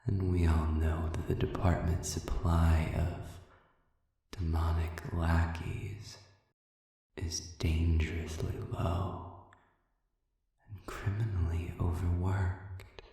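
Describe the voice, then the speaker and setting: A man playing a sadistic, silver-tongued demon prisoner in an audio roleplay, speaking in formal, mock-courteous phrases with a breathy, whispery menace. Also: low voice, little pitch movement, takes it slowly, dark tone. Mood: silky menace. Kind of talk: scripted audio roleplay addressed to the listener. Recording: very echoey, cavernous reverb, distant.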